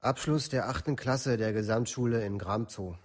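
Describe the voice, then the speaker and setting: An actor playing a teenage murder suspect in a documentary radio drama, terse and formal as in a police statement. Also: male voice, medium pitch, moderate pace, natural voice. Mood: terse, guarded. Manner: terse, detached, formal recitation.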